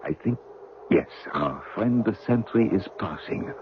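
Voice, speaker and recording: A man's voice: lowish voice, animated, a little slow. An actor playing a tall, wry, resolute French general in his sixties, planning an escape in an old-time radio drama. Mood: confiding, playful. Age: 60s. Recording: dull, noisy old radio recording.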